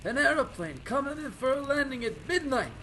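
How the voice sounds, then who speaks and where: A man's voice: extremely high pitch, sing-song, brisk, heavy background noise. An actor playing a bossy, slangy airfield controlman in an old time radio drama. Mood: bossy, startled. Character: brash, dutiful airfield controlman, bossy with his partner. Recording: music bed behind the dialogue.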